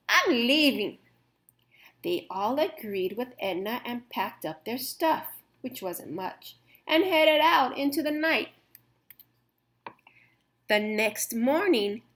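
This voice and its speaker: A woman reads a children's picture book aloud at a slow, unhurried pace. Her voice is bright and lively, moving up and down expressively as she tells the story. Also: sits high. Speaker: teacher reading aloud.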